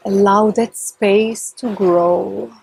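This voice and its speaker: A woman leading a guided meditation in a calm, gentle, soft and breathy voice, with long unhurried pauses between short phrases. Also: mid-range voice, a little hiss or hum, poor audio. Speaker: meditation teacher. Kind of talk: guided meditation, slow and instructional.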